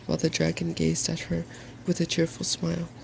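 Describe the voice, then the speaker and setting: A soft-spoken man reading a fairy tale as an ASMR storyteller. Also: fairly high pitch, monotone, fairly quick, vocal fry, slight background noise, rough recording.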